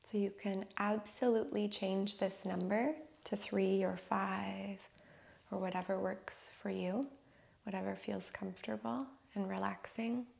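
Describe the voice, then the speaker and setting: A woman leading a guided breathing meditation, counting breaths softly and slipping into whispers. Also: medium pitch, fairly even intonation, creaky. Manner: slow, soft, soothing counting, drifting into whispers.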